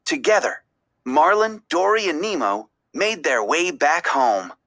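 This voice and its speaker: A woman narrating a children's story aloud, expressively, with deliberate, dramatic phrasing. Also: sits low, big pitch swings, fairly bright tone.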